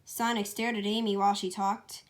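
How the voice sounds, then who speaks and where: A woman's voice: mid-range voice, level tone, moderate pace. A woman reading a story aloud as its amateur author, in an informal way, occasionally stumbling over the text. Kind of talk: casual storybook read-aloud.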